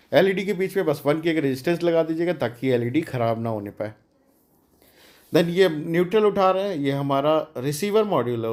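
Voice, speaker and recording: A man's voice: a little high, darkish tone. A man giving a casual, step-by-step hands-on tutorial, explaining informally and mixing in English technical words. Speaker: DIY electronics tutorial host.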